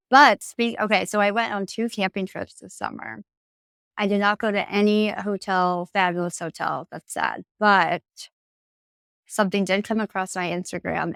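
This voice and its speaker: A woman's voice: mid-range voice, fairly bright tone, clean tone, no echo. A woman in her thirties co-hosting a podcast, chatting in a bubbly, gushing way. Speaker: podcast co-host. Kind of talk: casual chat.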